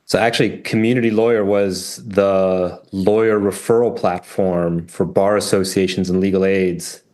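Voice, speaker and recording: A man born in France and raised in New Hampshire, a French native speaker, answering interview questions in a plain, measured way. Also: sits low, fairly even intonation, darkish tone. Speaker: guest, app founder.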